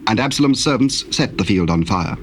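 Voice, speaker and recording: A man with a British accent narrating scripture in a formal, measured, solemn storytelling style. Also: fairly low pitch, expressive. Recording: noisy old cassette tape with ambience.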